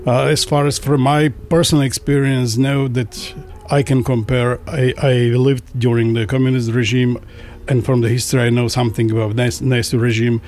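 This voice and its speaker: Brief: middle-aged man, translator on a discussion panel, mid-pitched, pauses and restarts often, careful English. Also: darkish tone. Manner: halting, frequent restarts, non-native phrasing. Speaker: translator and interpreter.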